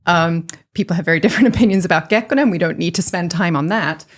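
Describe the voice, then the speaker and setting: A woman's voice: on the low side, fast. A woman talking as a guest, explaining things in a thoughtful, articulate, conversational storytelling way. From grew up outside Finland, Finnish parents. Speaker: guest, writer and amateur sauna historian.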